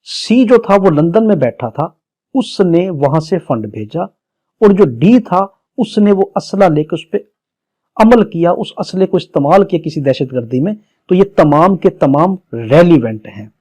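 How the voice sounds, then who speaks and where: A man's voice: a little high, animated, measured pace, warm tone. A man with a Pakistani accent giving a didactic exam-prep law lecture, reading phrase by phrase, then explaining and posing questions. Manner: didactic, reads phrase by phrase, poses questions and answers them.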